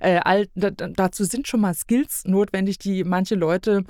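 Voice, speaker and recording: A woman's voice: medium pitch, steady tone, unhurried, a little mellow, dry recording, clean studio sound. A middle-aged woman from East Germany chatting casually as a podcast guest, talking emphatically and rambling as she corrects herself. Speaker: podcast guest, self-employed illustrator.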